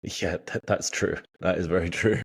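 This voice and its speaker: A man hosting a podcast, asking questions in a relaxed, chatty way and agreeing often. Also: low-pitched, normal speed, gravelly, slightly rough. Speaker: podcast host. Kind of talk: casual interview talk.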